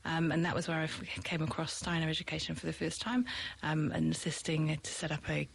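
A woman's voice: low-pitched, little pitch movement, a little fast, gravelly, slightly rough. Chatty British woman teacher, laughing often. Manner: chatty, with frequent laughs and ums. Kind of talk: podcast interview.

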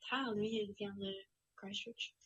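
A woman's voice: mid-range voice, steady tone, a little slow, a bit bright, breathy edge, highs cut off. A young woman from New Brunswick with an Acadian accent, chatting as an interview guest in a giggly, hesitant way, searching for words. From New Brunswick, Canada. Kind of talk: casual chat.

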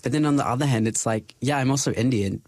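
A young man with a New Zealand accent, a podcast guest talking in a relaxed, thoughtful way with frequent fillers. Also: mid-range voice, fast, no room sound. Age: young adult. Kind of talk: casual interview chat.

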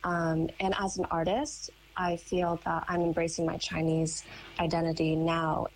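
A young woman with an American accent, a painter and writer answering interview questions in a thoughtful, measured way with frequent pauses. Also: low voice, level tone, slight background noise, poor audio. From United States. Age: young adult.

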